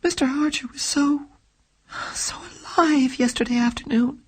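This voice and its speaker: A woman's voice: high-pitched, fairly even intonation, moderate pace, pitched above the performer's own voice, natural voice. A woman reading an audiobook, voicing a frightened young woman who pleads for help, with a thin, tremulous, faltering voice that slips into whispers. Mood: pleading. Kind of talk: audiobook character voice.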